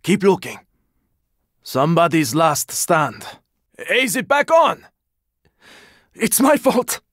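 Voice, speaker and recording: A man playing a gruff, weary, sardonic squad fighter in a zombie video game, speaking in terse lines with a raspy voice. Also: high voice, very animated, takes it slowly, a bit bright, no echo, studio quality, heavily acted. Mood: weary, grim. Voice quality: raspy, gravelly. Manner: terse, urgent, swearing. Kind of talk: video game character combat barks.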